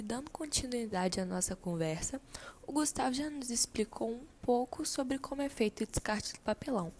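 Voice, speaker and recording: Brazilian female teacher played by an amateur actress for radio play: kindly, instructive, gravelly edge, slight noise behind. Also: mid-range voice, lightly acted. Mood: pleased, firm. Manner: didactic, encouraging. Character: female schoolteacher, kindly, guiding a classroom discussion. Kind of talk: scripted amateur radio drama.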